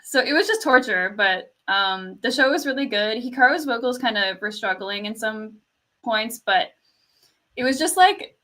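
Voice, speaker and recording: A young woman from Canada with a fairly quiet speaking voice, telling a story in casual chat with lots of "like" and laughter. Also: on the high side, expressive, normal speed. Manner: storytelling, laughs often, says "like" a lot.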